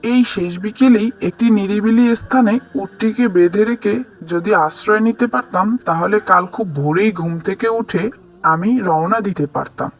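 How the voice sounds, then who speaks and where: A man's voice: high voice, moderate pace. A man voicing a devout, trusting traveler in a cartoon, earnest and prayerful in manner. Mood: calm, hopeful. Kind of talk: cartoon voice acting.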